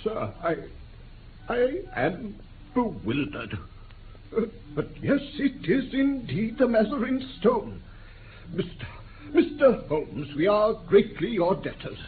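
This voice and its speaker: On a thin, noisy 1950s radio recording, a crusty old British aristocrat. His voice is high and swings widely as he speaks in formal, pompous, easily affronted bluster. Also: wildly swooping pitch, slow pace, pauses often, phone-quality sound, exaggerated voice. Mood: bewildered, contrite. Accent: upper-class British. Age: older. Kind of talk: 1950s radio drama acting.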